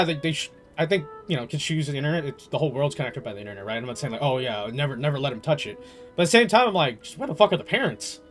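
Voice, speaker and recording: A young adult man streaming a game and chatting casually, rambling and emphatic, swearing freely. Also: fairly high pitch. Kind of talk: casual live stream chat.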